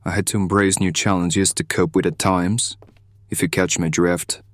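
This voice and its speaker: A man plays a calm, caring friend in an audio drama. His voice is low and conversational, reassuring, recorded very dry. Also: moderate pace, no room sound, natural voice.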